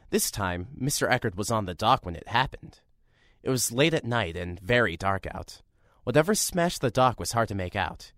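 A man's voice: lowish voice, fairly bright tone, no room sound. A man as a wry young narrating reporter. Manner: dry, wry, matter-of-fact storytelling. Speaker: narrator and lead character, a young radio reporter. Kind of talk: first-person audio drama narration.

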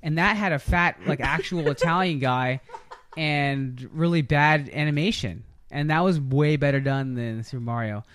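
A man with a slightly high voice hosts a casual movie podcast. He rambles through his opinions in a geeky, slangy way, talking like he is among friends. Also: normal speed, hardly any pauses, fairly bright tone, a little hiss or hum. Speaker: podcast host.

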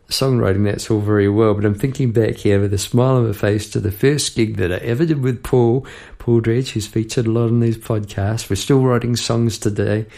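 A middle-aged man hosting a songwriting podcast, musing in a rambling, casual way with many restarts. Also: on the low side. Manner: rambling and warm-hearted, with frequent restarts and musing asides. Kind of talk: casual reflective podcast talk about songwriting. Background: guitar being played.

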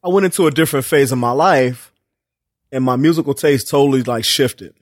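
A man in his forties talking as a podcast guest in casual chat, animated and swearing freely, often repeating himself. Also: medium pitch, brisk, no room sound, studio quality. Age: 40s. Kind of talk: casual conversation.

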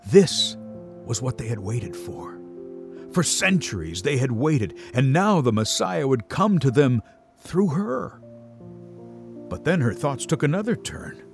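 A man narrating a scripted Bible story in a dramatic storytelling style. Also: fairly high pitch.

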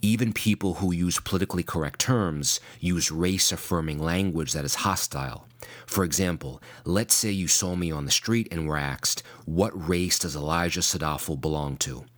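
Male preacher from New York, very low register, measured and emphatic delivery. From United States (New York). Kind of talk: scripted sermon.

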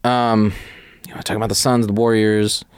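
A man's voice: lowish voice, little pitch movement, a little fast, clean tone, no room sound, studio quality. A man chatting casually about sports as a podcast co-host. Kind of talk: casual sports and sneaker chat.

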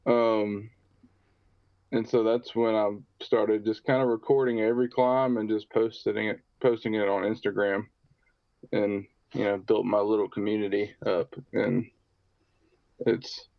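Male guest from the southern US, low, hesitant and easygoing, dull dry recording. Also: gravelly, no echo. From southern United States. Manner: easygoing, hesitant with many ums. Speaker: podcast guest. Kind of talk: casual interview talk.

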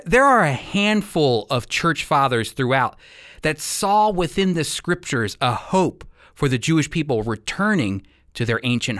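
A man's voice: a little high, a bit bright, dry recording, studio quality. A man hosting a religious radio program, explaining things earnestly in a conversational teaching style. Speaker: radio show host.

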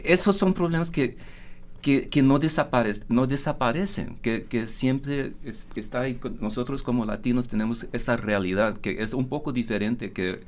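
A man's voice: mid-range voice, moderate pace. A man moderating a casual group discussion, speaking reflectively and often restarting or repeating words. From United States.

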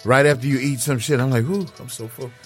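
A man's voice: medium pitch, fairly even intonation, fast, soft background music. A man hosting an internet radio show in casual, laughing, profane chat.

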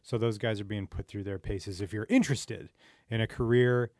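A man's voice: fairly low pitch, steady tone, normal speed, darkish tone, no room sound, clean studio sound. A man co-hosting a podcast, talking in a wry, sardonic, emphatic way. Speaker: podcast co-host. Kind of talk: scripted opinion monologue and casual podcast chat.

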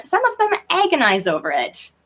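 A woman's voice: sits high, huge pitch swings, moderate pace, fairly bright tone. A woman chatting casually as a guest author in an interview, informal and self-deprecating in manner.

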